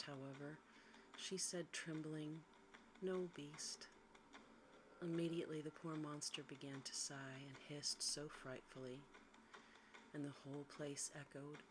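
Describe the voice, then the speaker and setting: Low-pitched woman, soft breathy ASMR storytelling, near-whisper, gentle, unhurried, faint background noise. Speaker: storyteller reading a bedtime story. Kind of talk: ASMR sleep story reading, soft and hushed.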